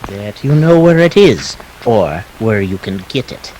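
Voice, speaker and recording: A man's voice: lowish voice, big pitch swings, a little mellow, slight background noise, low-quality recording. Man playing a courteous, menacing crook, swooping delivery. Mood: smoothly courteous. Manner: formal, precise, halting politeness. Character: fussy, courteous Greek man, menacing yet slippery crook. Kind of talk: 1940s detective radio play acting.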